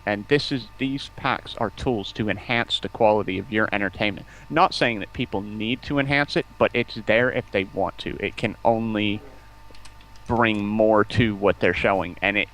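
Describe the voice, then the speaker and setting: A man's voice: lowish voice, moderate pace, a bit bright, rough recording. A man talking casually as a guest in a stream interview, explaining at length in an enthusiastic, rambling way. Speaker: stream guest, community outreach representative. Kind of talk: casual interview talk about game streaming.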